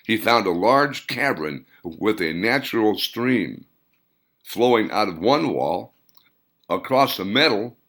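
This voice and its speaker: An earnest man reminisces like a practiced storyteller, voiced by a male audiobook reader. His voice is deep and unhurried. His intonation moves widely as he tells the tale. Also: sing-song, a bit bright, exaggerated voice. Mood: earnest, reminiscing. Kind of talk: audiobook character dialogue.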